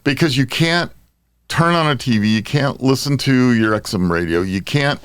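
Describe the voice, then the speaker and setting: A man's voice: medium pitch, animated, moderate pace, fairly bright tone, clear, resonant tone, no echo. An American man hosting a casual podcast chat, bantering in an exasperated way. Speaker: podcast host.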